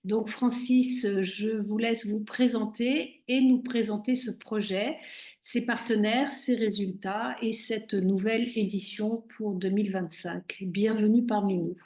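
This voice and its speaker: A woman hosting a webinar, introducing the session and its guest in a halting way with frequent fillers. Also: medium pitch, fairly even intonation, darkish tone. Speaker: host.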